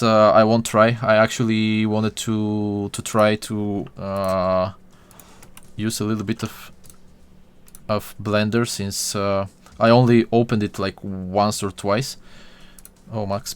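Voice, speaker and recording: Slow, hesitant man from Bulgaria. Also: on the low side, fairly even intonation, clear, resonant tone. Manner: hesitant, many fillers and restarts, talks to his viewers. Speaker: 3D modeling tutorial streamer. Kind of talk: casual live-stream chat while modeling.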